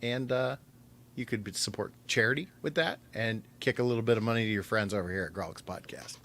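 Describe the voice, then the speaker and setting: A man's voice: fairly low pitch, brisk, fairly bright tone. A man co-hosting a podcast, talking casually and informally. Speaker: podcast co-host. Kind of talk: casual chat.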